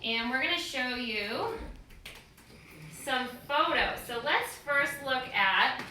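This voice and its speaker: A woman's voice: high-pitched, lively intonation, slow pace, sharp tone, poor audio. A woman co-hosting a live story time, chatting casually to viewers. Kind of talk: casual chat to a live audience. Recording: clear background noise.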